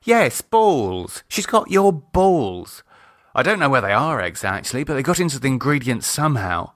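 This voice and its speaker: A man's voice: mid-range voice, big pitch swings, normal speed, fairly bright tone, dry recording, pitched above the performer's own voice. A man acts out a middle-aged British wife and mother in an audiobook, grumbling and sardonic with a flirty edge. The intonation swings animatedly, and the sound is dry and close. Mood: flustered, wry.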